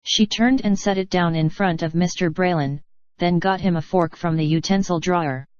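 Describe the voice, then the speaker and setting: A woman's voice: lowish voice, moderate pace, a little mellow, smooth, dry recording, lo-fi sound. A synthetic text-to-speech woman's voice reading an audiobook story in a stilted, odd cadence. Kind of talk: scripted audiobook narration.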